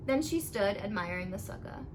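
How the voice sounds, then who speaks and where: A woman reading a children's picture book aloud in an expressive storytelling style. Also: medium pitch, moderate pace. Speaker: storybook reader. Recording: clearly noisy recording with background ambience.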